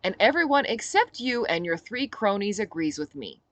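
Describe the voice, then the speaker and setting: Woman reading as a sharp-tongued lady rebel captain in an audiobook, expressive, swings around a lot. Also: mid-range voice, normal speed, no room sound, pitched above the performer's own voice, close to an everyday voice. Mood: exasperated.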